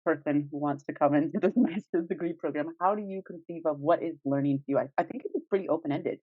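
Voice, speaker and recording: A woman's voice: low voice, quick pace, no echo. Woman podcaster on dull, lo-fi audio. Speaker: podcast co-host. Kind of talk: casual chat.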